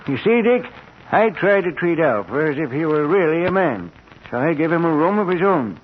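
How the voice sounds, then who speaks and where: An actor playing a proud, kindly old inventor professor in a 1940s radio drama, with an avuncular, showmanlike manner. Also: male voice, on the high side, very animated, normal speed. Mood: proud, eager. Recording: muffled, noisy 1940s radio recording.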